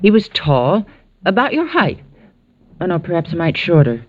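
An actress playing an earnest, proud and spirited niece in an old-time radio drama, with an emphatic, proper way of talking. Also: female voice, lowish voice, sing-song, normal speed. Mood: flustered, eager. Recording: muffled vintage radio broadcast recording.

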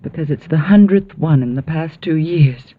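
An actress playing an earnest, proud and spirited niece in an old-time radio drama, with an emphatic, proper way of talking. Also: female voice, low voice, normal speed. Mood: pleading. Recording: muffled vintage radio broadcast recording.

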